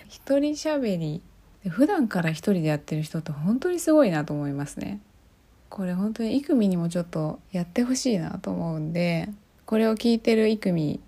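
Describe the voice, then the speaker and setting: A woman's voice: lowish voice, mellow. A woman hosting a podcast on her own, chatting casually and often putting questions to her listeners. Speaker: podcast host. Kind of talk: casual solo podcast chat.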